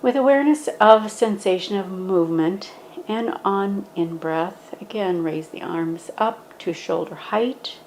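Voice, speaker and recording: A woman gently guiding a standing yoga meditation, speaking in a calm, instructive way. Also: mid-range voice, measured pace, a little hiss or hum, low-quality recording.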